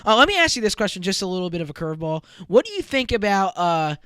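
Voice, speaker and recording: Co-host, young guy, lively pitch swings, dry close sound, voice a bit shot. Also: clear, resonant tone, no echo. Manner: excitable, animated, quick bursts of emphasis. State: losing his voice. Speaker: podcast co-host. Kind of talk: casual sports chat.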